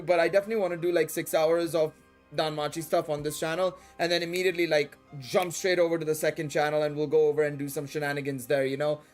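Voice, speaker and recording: A man with an Indian English accent that drifts toward British and American, chatting casually and in a rambling, slangy way as he streams a game. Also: on the high side. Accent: shifting Indian English with British and American touches. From Dubai and India. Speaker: live streamer. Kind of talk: casual livestream chat during gameplay. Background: music.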